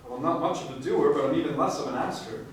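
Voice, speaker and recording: A man's voice: fairly high pitch, quick pace, natural voice. A man playing a self-effacing, easygoing fellow in a stage musical comedy, modest and hesitant. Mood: earnest, modest. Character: mild, self-effacing easygoing man finding his nerve. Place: live staged reading before audience. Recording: echoey stage, distant mic, faint noise.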